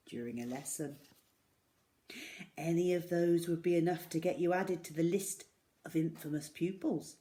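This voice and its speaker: A woman reading a children's story aloud in an expressive, dramatic way, with deliberate pauses. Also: fairly low pitch.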